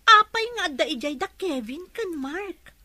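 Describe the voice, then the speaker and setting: Peevish young Filipina daughter, radio serial; high, bright voice, dry studio sound. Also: female voice, animated, moderate pace, dry recording, heavily acted. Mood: annoyed, sulky. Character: young woman, peevish, evasive daughter and girlfriend. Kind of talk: Ilocano radio drama acting.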